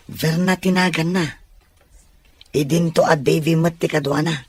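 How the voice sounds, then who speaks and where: An actor with a Filipino accent playing a loyal, courteous young best friend in an audio drama, his voice slightly husky. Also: fairly high pitch, steady tone, unhurried, dry recording. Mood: encouraging.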